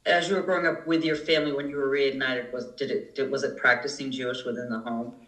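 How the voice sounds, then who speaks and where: A man interviewing, asking procedural questions in a calm, brief manner. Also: mid-range voice, fast talker, reverberant, poor audio.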